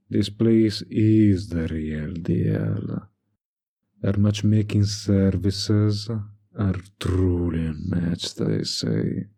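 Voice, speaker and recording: A man acts out a silky villain in a flirtatious audio roleplay. His voice is deep and dark with a gravelly creak, recorded dry and close. He draws out his words with teasing, manipulative politeness. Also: no echo, heavily acted. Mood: smooth, assured. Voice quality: low, breathy, gravelly.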